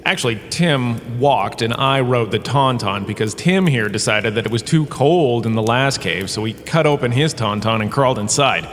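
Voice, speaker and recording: A man plays a washed-up holodrama star turned professor in a comic audio drama. He lectures theatrically, pleased with himself and breezily dismissive. His mid-pitched voice rings with long cave reverb over faint ambient noise. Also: normal speed, hardly any pauses, a bit bright, lightly acted. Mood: exasperated, flippant.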